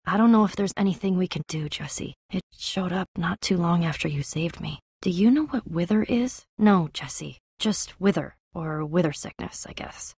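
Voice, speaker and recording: A woman voicing a tough, guarded but loyal young female adventurer in an animated adventure game, husky and blunt, with a casual, earnest delivery. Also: fairly low pitch, normal speed, few pauses. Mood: uneasy, confiding. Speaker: game voice actress.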